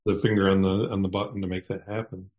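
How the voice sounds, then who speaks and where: Host read: man with a West Michigan accent, lowish voice, encouraging, easy chat, slightly dull audio. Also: little pitch movement, quick pace. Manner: encouraging, uses "right?" and "you know". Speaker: podcast host. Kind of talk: casual interview chat.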